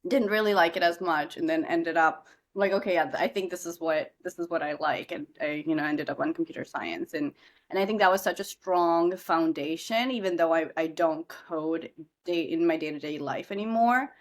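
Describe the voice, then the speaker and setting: A woman's voice: low voice, normal speed. A young woman from India, a startup founder, talking through her story as a podcast guest, chatty, with many fillers and restarts. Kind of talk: casual podcast interview.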